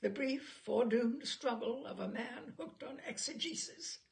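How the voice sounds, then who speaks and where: A woman reading literary humour aloud in an expressive, theatrical and wryly amused manner. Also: fairly high pitch, measured pace, creaky, slight background noise. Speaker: reader of humour stories.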